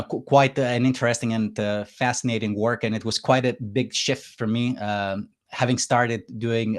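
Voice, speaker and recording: A man from Greece speaking as a guest in a podcast interview. He talks at a relaxed pace, hesitating often with "uh" and the odd soft laugh. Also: fairly low pitch. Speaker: guest engineer. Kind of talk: casual interview chat.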